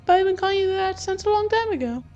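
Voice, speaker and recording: A man voicing a sweet, innocent, childlike anime girl in a visual novel, in falsetto. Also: expressive, quick pace, a little mellow, highs cut off, soft background music. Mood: innocent, puzzled.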